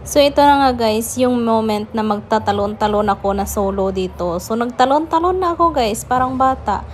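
A woman's voice: a little high, animated, normal speed, a little hiss or hum. A Filipino woman narrating a casual vlog, chattily addressing her viewers. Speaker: vlogger.

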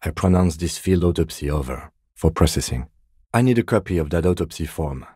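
A man playing a composed, meticulous police lieutenant detective in a video game, speaking in a precise, deadpan, politely reserved way. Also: low voice, fairly quick, slightly rough, no echo, clean studio sound. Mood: dry, matter-of-fact. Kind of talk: video game character dialogue.

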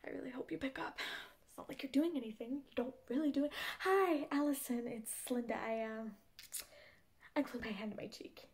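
A woman's voice: high voice, brisk, darkish tone. A woman in her twenties playing a frantic, exasperated young woman rambling to a friend by phone in a comedy monologue. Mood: sheepish, urgent. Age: 20s. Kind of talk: comedy monologue audition acting.

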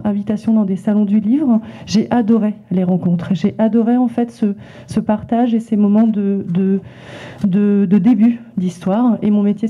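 A woman illustrator presenting her work at a library talk, hesitant with many restarts. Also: medium pitch. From France. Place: library event room with small audience. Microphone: handheld microphone passed around. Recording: tinny phone-like sound.

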